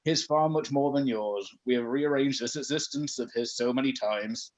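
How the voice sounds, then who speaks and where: A man's voice: mid-range voice, normal speed, natural voice, poor audio. A man voicing a solemn multiverse spirit who grants requests, speaking in a formal, portentous manner. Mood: grave.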